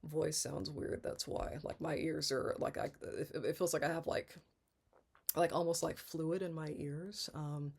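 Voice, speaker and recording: A congested woman in her thirties talking candidly in a personal vlog, halting and rambling, often restarting and trailing off. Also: deep voice, steady tone, vocal fry, darkish tone, breathy edge. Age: 30s. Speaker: vlogger.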